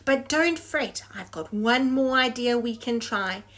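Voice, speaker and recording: A woman's voice: a little high, expressive, unhurried, fairly bright tone. A woman voicing a tiny, helpful, upbeat fish friend in a storybook, encouraging and reassuring. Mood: encouraging, confident.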